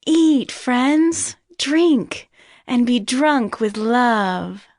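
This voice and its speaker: A woman's voice: on the high side, expressive, drawn-out words, a little mellow, no echo, studio quality, full character voice. A woman playing an admiring young woman of a wedding chorus, speaking poetically in a scripture drama. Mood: inviting.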